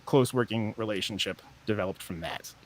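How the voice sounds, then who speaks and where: A man's voice: on the low side, brisk, poor audio. A man who works as a sound editor, telling a long story in casual podcast chat with many restarts.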